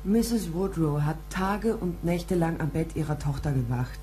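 A woman's voice: low-pitched, animated, measured pace, warm tone, slight background noise. An actress playing a tall, sardonic female private detective in a radio play, dry and challenging as she talks and narrates. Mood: dry, confrontational. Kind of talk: radio play dialogue and first-person narration.

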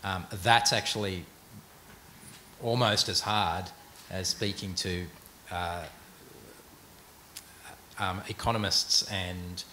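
A man's voice: low voice, fairly even intonation, slow pace, pauses often, sharp tone. A middle-aged man on a panel in a large auditorium, answering hesitantly with many restarts, speaking into a handheld mic. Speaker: panelist, museum executive. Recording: echoey hall, faint noise.

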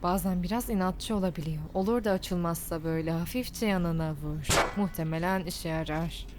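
A woman voicing a weary, practical young shop worker in an animated comedy, casual and matter-of-fact. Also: lowish voice, moderate pace, very noisy, natural voice. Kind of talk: animated comedy dub acting.

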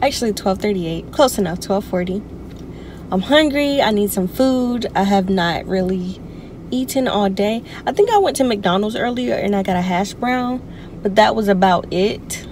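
A woman's voice: medium pitch, expressive, moderate pace, a little mellow. A young woman chatting to viewers from inside her car, recorded on a phone camera on the dashboard. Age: young adult. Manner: chatty, playful, talks straight to viewers. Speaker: vlogger. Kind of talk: casual car vlog chat. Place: inside a parked car, outdoors traffic around. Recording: car interior with clear road noise.

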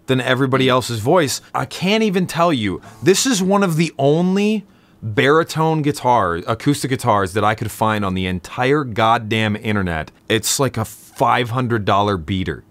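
A man in his thirties with a notably low voice, chatting casually and enthusiastically on a video call from his home studio, laughing often. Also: expressive, moderate pace, fairly bright tone, dry recording. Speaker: streamer and singer, host of the stream. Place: small home studio with purple lights. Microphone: studio mic with headphones.

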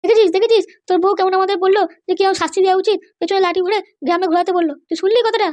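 A woman's voice: extremely high pitch, fairly even intonation, very fast, darkish tone. A woman voicing an aggrieved, scheming older mother-in-law in a comedy cartoon, complaining and scolding. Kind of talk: exaggerated cartoon dialogue.

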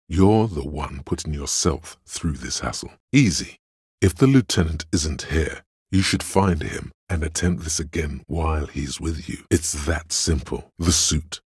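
Very deep, raspy voice of logic. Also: male voice, no room sound, exaggerated voice. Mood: dry, reasoning. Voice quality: very deep, gravelly rasp. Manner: measured, deliberate, analytical, dry. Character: calm, cerebral voice of Logic inside a detective's mind. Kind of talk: video game inner-voice narration.

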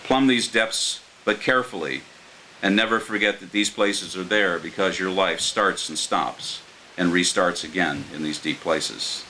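An older American man reading his poetry live at a microphone, in a measured, earnest way. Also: low voice, bright, forceful tone, rough recording. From Martins Ferry, Ohio, United States. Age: older, around 60. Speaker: poet reading his own work. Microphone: microphone on a stand. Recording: clear background hiss.

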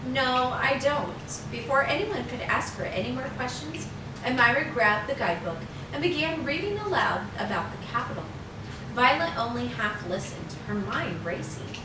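Female storyteller, lively melodic read-aloud for kids, recorded far from the mic in a roomy space. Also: sits high. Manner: expressive storytelling, voicing dialogue. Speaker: second-grade teacher reading aloud. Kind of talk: children's chapter book read-aloud. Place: living room at home. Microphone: far camera. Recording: roomy, distant microphone, noisy background.

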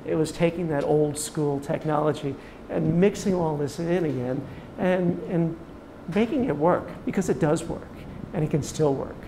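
A man's voice: fairly high pitch, moderate pace, warm tone, a little hiss or hum, low-quality recording. A middle-aged man giving an informal interview explanation as a business owner, recorded indoors. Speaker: farm owner being interviewed. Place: indoor room, interview setup.

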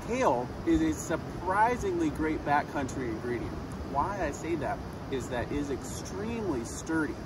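A man's voice: fairly high pitch, very animated, normal speed, few pauses, heavy background noise. A man in his forties hosting an upbeat outdoor cooking vlog on a beach, chatty and enthusiastic, recorded on a camera a few feet away. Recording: distant mic, loud outdoor ambience.

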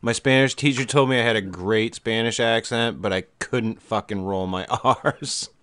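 A man's voice: fairly low pitch, normal speed, a bit bright, smooth. Profane man ranting on a podcast. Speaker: podcast co-host. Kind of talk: casual chat.

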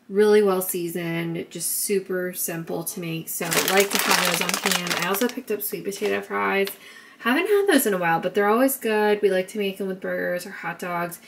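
A young woman talks through her grocery haul in a relaxed, chatty way, standing in her home kitchen. Her mid-pitched voice carries a fair bit of vocal fry, and a faint hum of noise sits behind it on the camera mic. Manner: chatty, upbeat, talks in a sing-song baby voice to her son. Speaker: vlogger. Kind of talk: casual grocery haul vlog.

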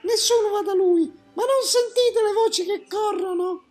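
A woman's voice: extremely high pitch, measured pace, darkish tone, soft background music. A woman voicing a small, pert fantasy creature in a children's cartoon. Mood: pert, reluctant. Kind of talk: children's cartoon character acting.

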